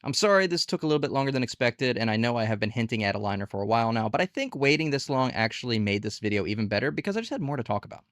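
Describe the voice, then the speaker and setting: A man's voice: mid-range voice, animated, racing, hardly any pauses, a bit bright, dry recording, studio quality. A man hosting a conversational review and tutorial, speaking casually and candidly and posing rhetorical questions. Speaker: video host reviewing online gig work platforms.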